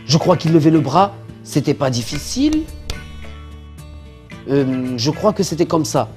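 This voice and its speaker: A man as an excitable high-pitched bumbling impostor. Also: unhurried, faint music behind. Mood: puzzled muttering. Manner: pleading, flustered, blustering. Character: bumbling male impostor healer, cowardly, excitable braggart. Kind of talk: French-language animated religious story dub.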